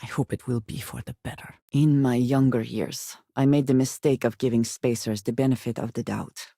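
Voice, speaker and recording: An actress playing a guarded, earnest woman space adventurer in a video game, speaking in measured, formal phrases with few contractions and a dry wit. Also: a bass voice, fairly even intonation, creaky, mellow, no room sound, full character voice. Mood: composed, serious. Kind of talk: video game character acting.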